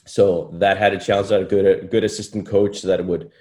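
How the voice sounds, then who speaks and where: A man's voice: deep voice, flat delivery, brisk, darkish tone. A man in his late thirties who grew up in Iceland and speaks English as a second language, a golf coach chatting in an interview with many fillers and restarts. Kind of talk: casual interview conversation.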